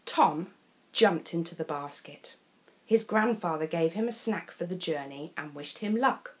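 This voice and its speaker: A woman reading a children's storybook in an expressive, deliberate way with dramatic phrasing. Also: on the low side. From England.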